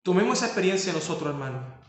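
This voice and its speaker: A man's voice: high-pitched, animated, very fast, heavy reverb. A man preaching a sermon as pastor, stressing points with rhetorical questions.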